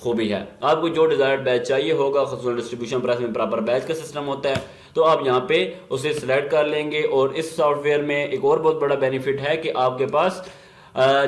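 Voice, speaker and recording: A man gives an explanatory software demo, mixing in many English business terms. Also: medium pitch. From Pakistan. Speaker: software tutorial presenter. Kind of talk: instructional software demo narration.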